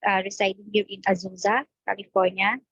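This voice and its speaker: A woman's voice: medium pitch, level tone, brisk, a bit bright, slightly rough, no echo. Polite hesitant woman from the Philippines, dry audio. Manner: polite, hesitant, many fillers. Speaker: accountant and graduate student. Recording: dry, dull call audio.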